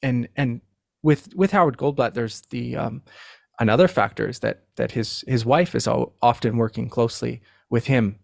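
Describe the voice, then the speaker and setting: Gravelly man, halting podcast guest. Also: mid-range voice, dry recording, studio quality. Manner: halting, repeats words. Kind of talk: casual literary discussion.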